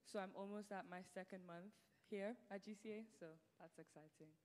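A woman's voice: mid-range voice, steady tone, fairly quick, darkish tone. Chatty teen girl with a British-tinged Nigerian accent. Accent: British-tinged Nigerian English. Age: teens. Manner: chatty, many "like" fillers. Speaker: student guest. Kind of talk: casual interview on stage. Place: church sanctuary stage. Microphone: handheld mic. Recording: long reverb of a large hall.

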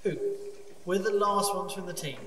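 A man as jittery male cave explorer, high tense voice, halting and cautious, audio drama, cavernous reverb. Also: sharp tone. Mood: reluctant. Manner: hesitant, anxious, stammering. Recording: echoey cave-like reverb, distant, faint noise.

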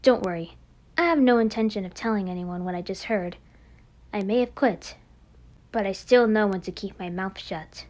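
A woman voicing a calm, composed teenage former idol in an anime game, measured and matter-of-fact. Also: medium pitch, pitched below the performer's own voice, close to an everyday voice. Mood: cool, firm. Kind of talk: amateur anime game voice acting.

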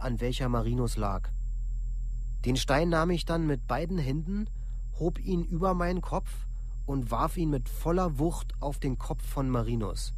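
An actor playing a young killer reciting a formal, matter-of-fact confession in a documentary radio play. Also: male voice, medium pitch, slight background noise, natural voice. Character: young male killer giving a factual confession.

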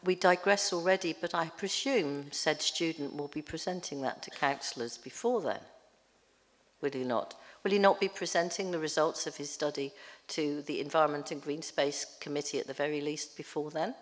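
Woman councillor, croaky, very low voice, earnest, hall reverb. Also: normal speed.

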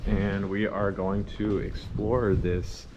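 A man's voice: fairly low pitch, level tone, slow. A man talking casually as co-host of an outdoor walking vlog. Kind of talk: casual chat while walking. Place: outdoors walking around an old fort. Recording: windy outdoor camera, dull muffled sound.

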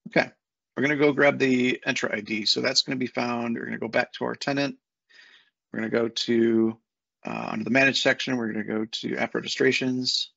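A man in his thirties walking through a software setup on a screen share, talking casually step by step with fillers, recorded on a desk mic at home. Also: mid-range voice, level tone, a little fast, vocal fry, dry recording. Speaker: software consultant. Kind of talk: screen-share tutorial walkthrough. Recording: dull lo-fi dry sound.

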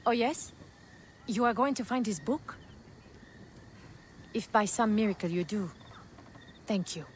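A woman's voice: mid-range voice, unhurried, slight background noise, close to an everyday voice. An actress playing a grieving, stubborn young farm woman who speaks in a guarded, resolute way.

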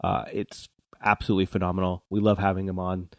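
A man's voice: sits low, level tone, fast, no echo, clean studio sound. A man hosting a podcast in a casual interview style. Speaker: podcast host.

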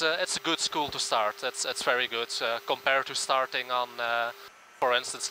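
Bright-voiced young male pilot in a cockpit. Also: medium pitch, poor audio. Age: early 20s. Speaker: airline first officer. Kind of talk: informal talk to camera about his job. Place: small airliner cockpit in flight. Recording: steady engine hum in cockpit.

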